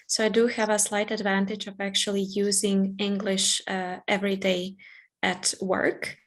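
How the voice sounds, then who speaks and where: A woman from Croatia, a scientist and author on a panel, speaking haltingly with many filler pauses. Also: medium pitch, completely flat delivery. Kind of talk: online panel discussion.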